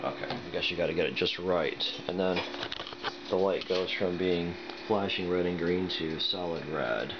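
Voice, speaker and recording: A man with a very low voice talks casually through a product unboxing. He thinks aloud with some hesitation as he works. The recording is dull and lo-fi, with heavy noise throughout. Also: moderate pace. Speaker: product reviewer. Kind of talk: casual unboxing and demonstration talk. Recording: dull lo-fi sound with loud noise.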